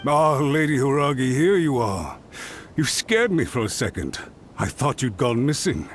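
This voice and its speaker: A jumpy, suspicious retainer. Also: male voice, medium pitch, big pitch swings, a little slow, a little hiss or hum. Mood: relieved. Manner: jumpy, defensive, formal. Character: anxious, suspicious male retainer, highly strung.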